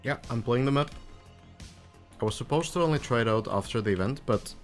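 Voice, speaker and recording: A man's voice: mid-range voice, fairly quick, soft background music. A man from Finland, a Finnish native speaker, chatting casually on a live stream as he comments on a game and answers viewers. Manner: relaxed, chatty, answers viewers with light laughs.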